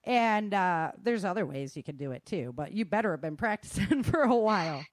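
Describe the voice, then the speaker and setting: A woman's voice: lowish voice, lively intonation, moderate pace, dry recording. A woman giving casual, conversational advice in an emphatic, plain-spoken manner. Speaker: guest sharing self-defense advice.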